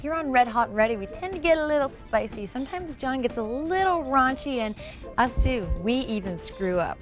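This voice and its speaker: A woman's voice: high voice, expressive, moderate pace. A woman in her twenties co-hosting a cooking show, playful and teasing, talking outdoors in a garden. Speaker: co-host. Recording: faint music behind.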